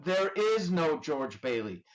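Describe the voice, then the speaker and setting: A woman's voice: low-pitched, very animated, takes it slowly, heavily acted, poor audio. A woman playing an innocent, centuries-old male angel in a radio play, quaint and earnest with small hesitations. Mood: earnest, solemn. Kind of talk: community theatre radio play acting. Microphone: video call.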